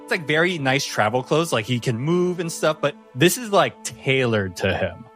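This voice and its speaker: A man's voice: on the high side, sing-song, normal speed, fairly bright tone. Man narrating a tabletop game over music. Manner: descriptive, casual phrasing. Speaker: game master.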